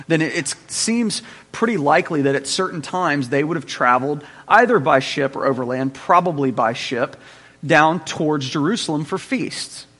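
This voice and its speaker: A man with a slightly higher voice teaches a Bible class in a relaxed, conversational way. He often checks in with his listeners as he explains. His voice carries a long reverb, as if recorded in a large hall. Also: breathy edge.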